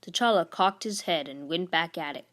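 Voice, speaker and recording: A woman with a slightly low voice reads a storybook aloud as host. Her narration is expressive. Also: moderate pace.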